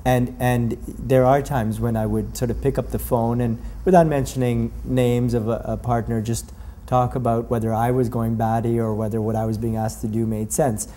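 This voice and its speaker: A man's voice: medium pitch, level tone, moderate pace, few pauses, mellow, slight background noise. A man in his forties, a lawyer from Canada, giving a thoughtful interview answer and often repeating words as he searches for the right phrasing.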